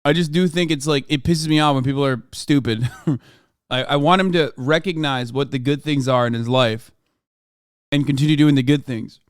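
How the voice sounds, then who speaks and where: A man's voice: medium pitch, moderate pace, clear, resonant tone, no echo, clean studio sound. A man in his thirties ranting in casual reaction commentary, profane and exasperated. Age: 30s. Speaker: streamer reacting to clips.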